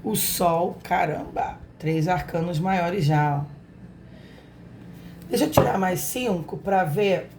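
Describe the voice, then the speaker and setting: A hoarse woman with a Brazilian accent speaks slowly and unhurriedly, her voice sitting a little low. She chats affectionately to her viewers as she runs a spiritual tarot reading. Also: noisy background. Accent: Brazilian Portuguese. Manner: affectionate, chatty, talks straight to viewers. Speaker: tarot reader, channel host. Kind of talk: casual spiritual reading to camera.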